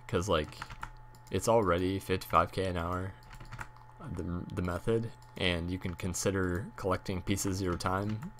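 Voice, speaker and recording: A young man with a hoarse voice from a cold, rambling casually with many fillers while talking about a game he streams. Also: low-pitched, a bit bright, slight background noise. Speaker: gaming YouTuber and streamer. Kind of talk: casual talk over gameplay.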